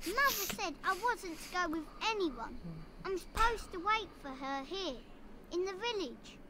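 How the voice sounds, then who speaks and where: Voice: extremely high pitch, lively intonation, unhurried. An actor playing a lost, trusting young farm child who is anxious for a missing mother, in a video game. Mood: shy, trusting. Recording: clear village ambience noise behind.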